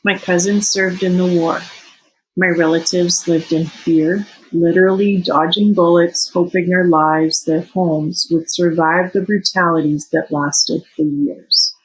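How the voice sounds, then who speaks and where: A Canadian-born woman in her forties whose first language is Serbo-Croatian, reading a personal reflection in an earnest, measured way. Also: lowish voice, fairly even intonation, slight background noise, poor audio. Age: 40s. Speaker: teacher sharing a personal reflection.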